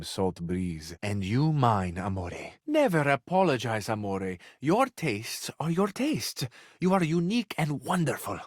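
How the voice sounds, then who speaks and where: A man's voice: mid-range voice, no room sound, studio quality. A man voicing a flamboyant, hopelessly romantic Italian charmer in a video game, with an Italian accent and a florid, amorous delivery that savors each phrase. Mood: tender, apologetic. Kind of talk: theatrical video game character acting.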